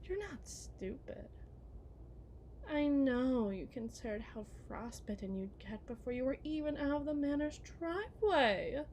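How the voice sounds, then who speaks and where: A woman's voice: sits high, lively intonation, unhurried, a little mellow, slight background noise. A woman playing a genteel, possessive kidnapper wife in a theatrical ASMR roleplay, condescending and syrupy sweet with veiled menace. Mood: softly menacing. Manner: mannered, condescending, syrupy endearments, veiled threats.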